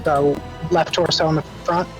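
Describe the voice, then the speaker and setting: A man with a slightly high voice and a flat, even delivery chats as a tabletop game player. Faint music runs behind him. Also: normal speed. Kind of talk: casual chat.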